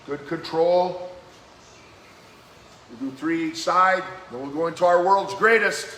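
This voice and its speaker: A man leading a live-streamed workout as fitness coach, giving brisk, encouraging exercise cues in a slightly hoarse voice that keeps cracking. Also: a little high, sharp tone. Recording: echoey room with faint background noise.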